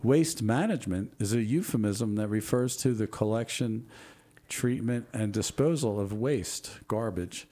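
A man hosting a show, reading out corny jokes with a casual, jokey manner, laughing at his own puns while losing his voice and clearing his throat. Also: on the low side, measured pace, mellow. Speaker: show host.

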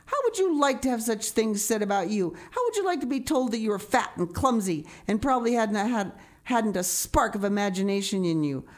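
A woman's voice: mid-range voice, expressive, brisk, few pauses, voice pushed up, natural voice. A woman voicing a fiery, passionate and wounded young orphan girl with vehement, dramatic delivery for a storybook reading. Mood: furious, hurt.

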